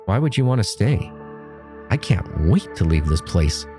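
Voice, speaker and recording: An actor playing an older brother eager to leave home for college, talking loud and brash. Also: male voice, lowish voice, very animated, measured pace, over music.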